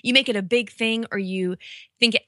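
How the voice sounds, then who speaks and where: A woman's voice: fairly high pitch, steady tone, fairly quick, sharp tone, dry recording, studio quality. A chatty middle-aged woman who laughs easily, talking casually as a guest on a podcast interview. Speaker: podcast guest, read-aloud podcast creator.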